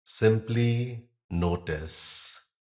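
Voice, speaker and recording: A man leading a guided yoga nidra meditation, speaking calmly and gently in short, unhurried phrases with pauses. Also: fairly low pitch. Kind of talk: guided meditation, slow instruction with long pauses.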